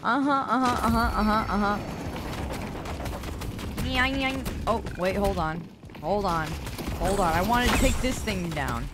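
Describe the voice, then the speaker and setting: A woman's voice: medium pitch, a little slow, long pauses. A young adult woman with a Midwestern American accent, chatting playfully while streaming a game, often repeating phrases in a sing-song way. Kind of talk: casual gameplay streaming chat. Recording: loud game noise underneath.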